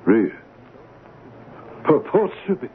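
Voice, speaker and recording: Excitable, high-pitched anxious swindler. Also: male voice, takes it slowly. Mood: anxious, flustered. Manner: excitable, stammering, effusive. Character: eager, anxious swindler with a counterfeit painting. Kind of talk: 1970s radio drama acting. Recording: tinny, faintly hissy 1970s radio recording.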